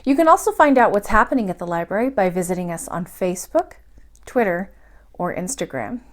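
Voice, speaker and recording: A woman reading a classic adventure story aloud as a storytime host, with dramatic emphasis and deliberate pauses. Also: mid-range voice, sing-song. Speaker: library storytime host and reader. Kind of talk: expressive bedtime audiobook-style reading of a classic adventure novel.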